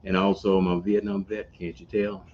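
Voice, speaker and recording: A man, a veteran guest speaking in a slow, halting, plain-spoken way. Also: low voice, flat delivery, a little mellow. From Montgomery, Alabama. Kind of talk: casual interview. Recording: dull lo-fi recording with faint noise.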